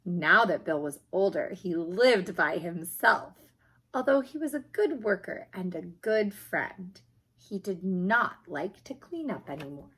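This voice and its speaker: A woman reading a children's picture book aloud in an expressive, emphatic storytelling manner, with a slightly husky voice. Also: on the low side, measured pace.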